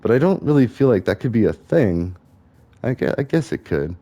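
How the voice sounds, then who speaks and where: Deep-voiced man rambling over a game. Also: sing-song, normal speed, warm tone, highs cut off. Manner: wandering, musing, tangents. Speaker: gaming vlogger. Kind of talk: rambling commentary while playing a game.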